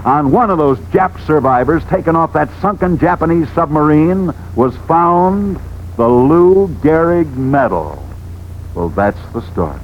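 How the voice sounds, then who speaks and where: An American man telling sports stories as a 1940s radio host, emphatic and theatrical, building up through repetition. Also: mid-range voice. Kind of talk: 1940s dramatic radio narration. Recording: dull, noisy 1940s radio recording.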